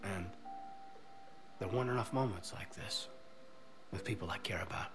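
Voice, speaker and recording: An actor playing a weary male soldier who talks softly and earnestly. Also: fairly low pitch, moderate pace, lightly acted. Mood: reflective. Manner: soft, earnest, reflective. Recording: game audio under music.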